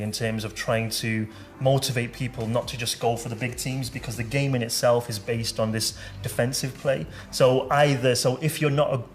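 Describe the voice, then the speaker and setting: Manchester guy talking through a football game, kinda hesitant and agreeable, music pretty loud behind him. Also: medium pitch. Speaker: game developer demonstrating a football game. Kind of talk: casual chat during gameplay. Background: music behind the voices.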